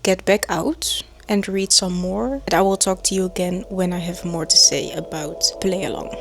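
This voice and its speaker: A woman's voice: lowish voice, moderate pace, vocal fry. Chatty young woman, Dutch native. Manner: chatty, enthusiastic, repeats lists. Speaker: book vlogger. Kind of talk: casual reading vlog to camera. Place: at home.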